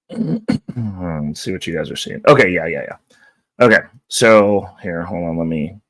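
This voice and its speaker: Deep hoarse man hosting a vlog. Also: a little slow, fairly bright tone, dry recording. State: hoarse, losing his voice. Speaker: channel host. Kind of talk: casual market-update vlog.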